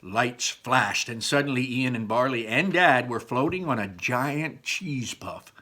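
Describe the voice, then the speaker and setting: Male read-aloud storyteller, bright forceful voice, expressive, drops into chatty explanations for young listeners. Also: medium pitch, a little slow. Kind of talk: animated children's book read-aloud with chatty asides.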